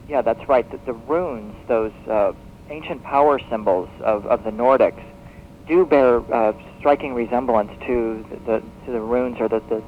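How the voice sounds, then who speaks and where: A man speaking as a guest expert in a radio interview, explaining in a halting, expository way and repeating words as he goes. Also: medium pitch, phone-quality sound. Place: radio broadcast, taped off air. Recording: thin, noisy shortwave radio broadcast on cassette.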